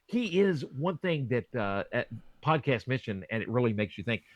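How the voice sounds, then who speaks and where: Man, mid pitch, enthusiastic chat, repeated restarts, dry recording. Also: sing-song, normal speed, dry recording. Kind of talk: casual chat.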